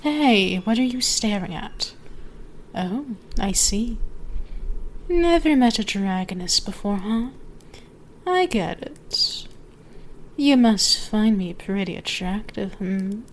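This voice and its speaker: A woman plays an ancient dragoness in an intimate ASMR roleplay. She speaks slowly, teasing and dominant, often sinking toward a whisper. A clear layer of background ambience sits behind the voice. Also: mid-range voice, lively intonation, slight background noise. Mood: teasing, flirtatious. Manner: slow, teasing, drawn-out, often dropping to whispers.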